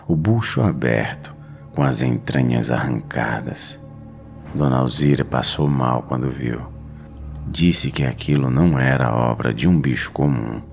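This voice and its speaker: A Brazilian man narrating a scripted horror story in a dramatic, suspenseful manner with deliberate pauses. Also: very deep voice. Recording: narration over clear background music. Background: music.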